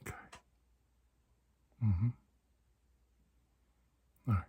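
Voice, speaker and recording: A man doing soft-spoken ASMR roleplay as a calm doctor, speaking gently and reassuringly, often dropping into a whisper. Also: extremely slow, a little hiss or hum. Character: calm, reassuring male doctor examining a patient. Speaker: ASMR performer playing a doctor.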